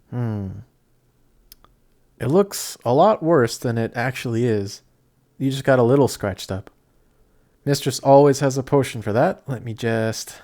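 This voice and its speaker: A young man playing a kindhearted apprentice sorcerer in an audio roleplay, chatty, excitable and easily flustered, with some stammering. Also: mid-range voice, natural voice. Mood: caring, reassuring. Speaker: voice actor. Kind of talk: amateur audio roleplay acting addressed to the listener.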